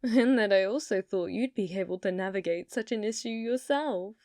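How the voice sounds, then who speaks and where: A woman's voice: medium pitch, normal speed, darkish tone, no room sound, studio quality, lightly acted. A woman voicing an ancient, possessive female yokai in an intimate ASMR roleplay, coyly sweet yet menacing, with a teasing manner that often drops toward a whisper. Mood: teasing, curious.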